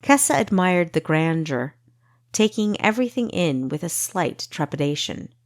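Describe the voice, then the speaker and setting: A woman narrating a fantasy audiobook with expressive, measured storytelling. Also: lowish voice, no echo, studio quality.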